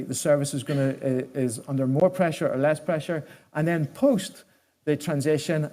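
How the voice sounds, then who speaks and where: A man giving a conference presentation as an executive, speaking haltingly and often restarting his words and phrases. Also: mid-range voice, a little mellow. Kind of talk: conference presentation with audience questions.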